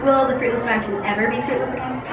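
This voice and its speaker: Loud background noise, girl playing curious little daughter, high eager questioning voice, far from mic. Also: fairly even intonation, quick pace, close to an everyday voice. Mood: curious, pleading. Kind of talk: amateur readers theatre acting. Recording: distant, echoey, very noisy room with clatter.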